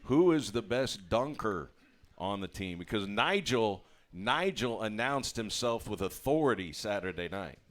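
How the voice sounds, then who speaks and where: A man hosting a coaches' show, chatting and firing quick follow-up questions at a player. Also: fairly low pitch, animated. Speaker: radio show host.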